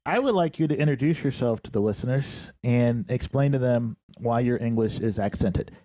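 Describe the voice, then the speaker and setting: A man from the American Midwest hosting a podcast, opening with a measured, announcer-like introduction before greeting his guest. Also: medium pitch, mellow, no room sound, rough recording. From Dayton, Ohio. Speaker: podcast host. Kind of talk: scripted podcast intro and interview.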